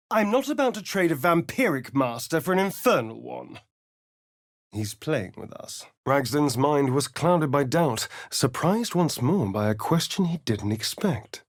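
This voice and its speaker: A vain, sly vampire spawn for a video game. His delivery is slow and swoops widely in pitch, every phrase arch and sardonic. The sound is close and dry, giving his theatrical drawl full play. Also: dry recording. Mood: hushed, dramatic. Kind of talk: video game character voice lines.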